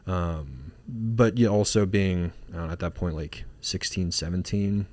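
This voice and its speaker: A man with a low, steady voice talks through his answers in a loose, rambling way, full of fillers and false starts. He is from Singapore and speaks as a therapist guest in a casual conversation. The sound is close and dry, with no room around it. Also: a little slow, dry recording. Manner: relaxed, rambling with many fillers and restarts. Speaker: marriage and family therapist guest.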